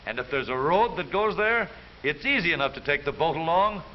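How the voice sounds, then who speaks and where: An American man delivers jaunty 1930s newsreel narration in a fairly high voice. His theatrical, wisecracking lines swing widely in pitch. The old soundtrack is dull, with a light hiss. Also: lively intonation, fairly quick.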